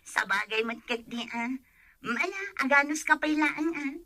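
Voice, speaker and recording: A woman's voice: sits high, a little slow, sharp tone, no room sound. An actress playing a caring young countrywoman in a radio drama. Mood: friendly.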